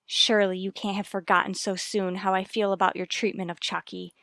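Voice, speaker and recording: A woman's voice: mid-range voice, level tone, brisk, gravelly, fairly bright tone, no echo. Worried determined mother, earnest, formal, firm, 1950s radio drama, dry close sound. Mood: firm, defiant. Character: earnest, anxious but determined wife and mother. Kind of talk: 1950s radio soap acting.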